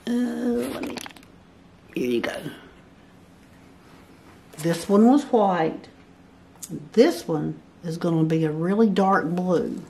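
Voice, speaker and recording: A woman talks slowly and patiently through a craft pattern, counting squares aloud one by one. Her voice sits on the lower side. A faint background hiss stays under her speech. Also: animated, mellow. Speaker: barn quilt pattern instructor. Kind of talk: step-by-step craft tutorial. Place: at a table over a paper pattern, indoors.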